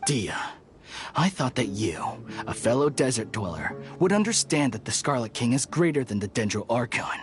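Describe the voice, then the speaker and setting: A man's voice: medium pitch, very animated, normal speed, soft background music, lightly acted. Man playing a scornful, mid-voiced desert zealot. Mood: cold disappointment. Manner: stern, condescending. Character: scornful, zealous male desert rebel leader. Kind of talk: anime-style video game voice acting.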